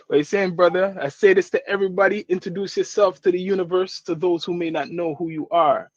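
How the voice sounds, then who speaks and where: A man's voice: sits high, fairly even intonation, a little fast, no room sound, highs cut off. A man hosting a casual podcast interview over a remote call, laid-back with slang greetings. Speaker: podcast host.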